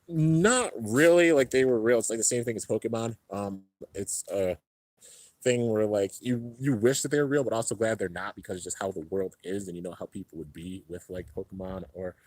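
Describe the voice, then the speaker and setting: A man's voice: darkish tone, no room sound, low-quality recording. A young man hosting a casual livestream and reading viewer comments, laid-back and rambling, a little congested. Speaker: YouTube host reading live chat. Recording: low-end home stream setup.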